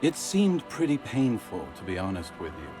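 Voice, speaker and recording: A boozy, wry video game drunk with a low voice. He spins tales grandly and lazily, full of mock eloquence, with faint music underneath. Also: big pitch swings, normal speed. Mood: wry sympathy. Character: wry, boozy male alcoholic storyteller, grandiose and philosophical. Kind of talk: video game character monologue.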